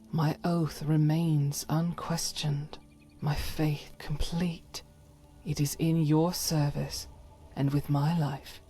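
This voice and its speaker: A woman's voice: sits low, warm tone. A woman voicing a devout, stern holy warrior who carries private doubt, speaking solemnly and formally, as in a fantasy game. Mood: reverent. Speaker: voiced follower character in a fantasy game mod. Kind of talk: scripted dramatic game dialogue.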